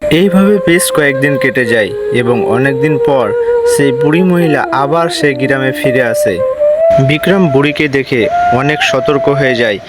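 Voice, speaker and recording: A man's voice: medium pitch, expressive, normal speed. A man narrating a cartoon moral story in a scripted storytelling style. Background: loud music.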